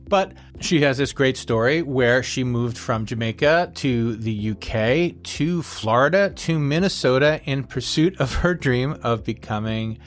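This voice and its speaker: A man hosts a podcast in an easy, conversational way, pausing and restarting as he frames his questions. A faint bed of music sits underneath. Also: medium pitch. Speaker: podcast host. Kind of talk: podcast interview hosting.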